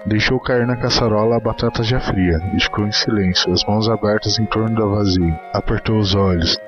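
A man's voice: lowish voice, steady tone, brisk, highs cut off, over music. A man narrating a short story as a dramatic literary reading.

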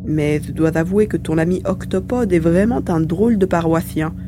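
A woman's voice: lowish voice, normal speed, over music, close to an everyday voice. A woman playing a small, cheerful male mouse-beaver mutant who chatters wordily in an audio serial. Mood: chatty, amused. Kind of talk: acted dialogue in an amateur audio serial. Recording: faint background hum and noise.

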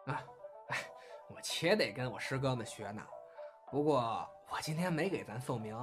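A woman's voice: a bass voice, lively intonation, moderate pace, reverberant, over music. A woman playing an eager, cheeky young crosstalk apprentice in an audio drama. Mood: humble.

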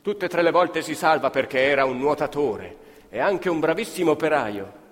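Animated male storyteller in a reverberant hall. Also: on the high side, moderate pace. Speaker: actor-narrator. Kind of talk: dramatic theatrical storytelling of oral history. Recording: hall reverb, live stage performance.

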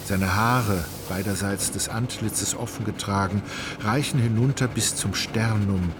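An actor narrating a literary radio play as an ironic, all-knowing storyteller, measured and ornate in his delivery. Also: lowish voice, background noise, lightly acted. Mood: wry, measured. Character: wry, omniscient, ironic male storyteller. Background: music.

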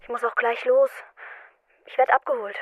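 An actress playing a brisk, evasive woman in a radio drama, talking casually. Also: fairly high pitch, steady tone, no room sound, phone-quality sound, lightly acted. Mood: hurried, evasive. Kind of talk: German radio drama acting.

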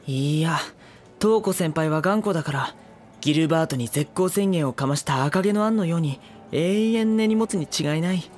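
A man playing a gentle, exasperated, self-deprecating high school boy in a Japanese anime audio drama. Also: normal speed, close to an everyday voice. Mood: questioning.

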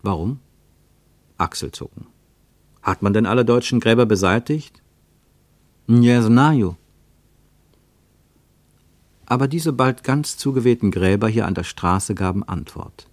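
Reflective radio play narrator guy, lowish voice, lyrical n deliberate, really dry sound. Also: animated, a little mellow, dry recording. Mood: measured, reflective. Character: reflective male narrator of a literary travel account. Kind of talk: literary scripted narration, German radio play.

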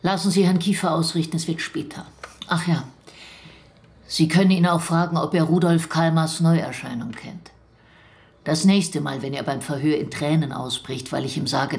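An actress playing a stern, bitter postwar investigator in a German radio drama, speaking in a controlled, cutting and deliberate way. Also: female voice, low voice, steady tone, vocal fry, husky, full character voice.

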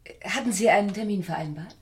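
A woman's voice: medium pitch, fast talker, breathy edge. A woman playing a lawyer's wry, formally polite receptionist in a 1980s radio comedy.

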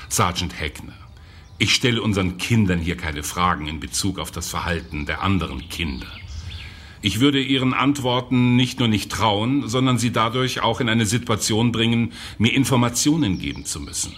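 An actor playing a cynical, callous youth prison director in a German radio drama, condescending and bureaucratic. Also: male voice, fairly low pitch, expressive, normal speed, fairly bright tone, slight background noise. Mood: condescending, dry.

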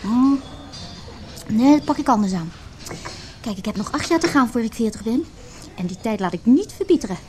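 A woman's voice: on the high side, lively intonation, normal speed, pauses often, a little mellow, soft background music, close to an everyday voice. An actress playing a brash, cynical woman in her early thirties in a Dutch radio play, exclaiming, teasing and scornful. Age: 30s. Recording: radio play with clear background noise.

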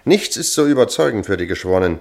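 An actor playing a seasoned, matter-of-fact police sergeant in a German crime radio play, measured, dryly cynical and authoritative. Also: male voice, fairly low pitch, sing-song, vocal fry, a little mellow. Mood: dry, cautioning.

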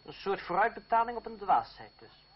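An actor playing a proud, bitterly sarcastic jilted suitor in a Dutch radio play, speaking formally and with self-control. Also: male voice, sits high, big pitch swings, fairly quick. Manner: formal, sardonic. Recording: muffled old radio recording.

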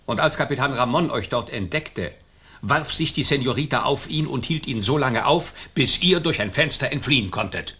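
Forceful, rough-voiced haughty governor. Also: male voice, medium pitch, expressive, moderate pace. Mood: stern, accusing. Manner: imperious, formal, scornful. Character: haughty, vindictive colonial governor, quick to rage. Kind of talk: German radio play acting.

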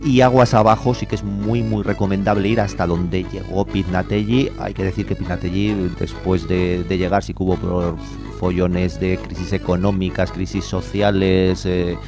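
A man speaks in a very low, gravelly voice. He talks quickly and chattily, like a guest educator enthusiastically sharing tips on a radio show, with clear music behind him. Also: little pitch movement. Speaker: environmental educator, guest contributor. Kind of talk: informal radio chat recommending walks.

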